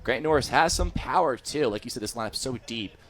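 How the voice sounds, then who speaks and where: A man's voice: medium pitch, animated, fairly quick, fairly bright tone, a little hiss or hum. An American man working as a color commentator on a live baseball broadcast, giving emphatic, opinionated analysis.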